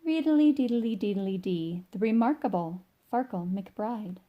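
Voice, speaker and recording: A woman reading a rhyming children's picture book aloud in an expressive, sing-song way. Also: mid-range voice, moderate pace, muffled recording, a little hiss or hum. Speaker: storybook reader.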